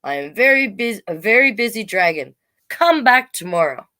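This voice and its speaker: A woman's voice: medium pitch, big pitch swings, normal speed, bright, forceful tone, voice pushed up. A woman voicing a busy, boastful male dragon from a storybook, brusque and dismissive.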